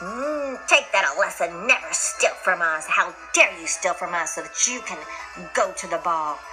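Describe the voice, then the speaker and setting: Woman doing mean storybook stepmother, cold and bossy, lower than her usual, music behind. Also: expressive, normal speed, close to an everyday voice, poor audio. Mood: scolding. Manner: cold, commanding. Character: cruel scheming middle-aged stepmother.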